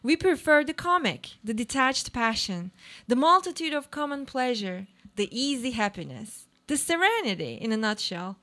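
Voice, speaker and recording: A cynical, uneasy wife in a radio play, acted by a woman. Her voice sits fairly high, and she delivers brooding monologues in a deliberate, theatrical, poetic manner. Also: big pitch swings, pushed and bright. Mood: disdainful.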